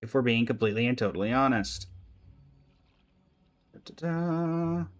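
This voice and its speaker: A man's voice: mid-range voice, animated, a little slow. A man streaming a video game, giving casual live commentary, greeting viewers and making playful asides to himself and the characters.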